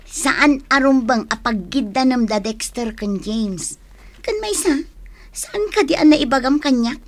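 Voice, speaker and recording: An actress playing a prying, cautioning young best friend, with an Ilocano accent and admonishing manner in a radio drama. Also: female voice, high voice, animated, measured pace.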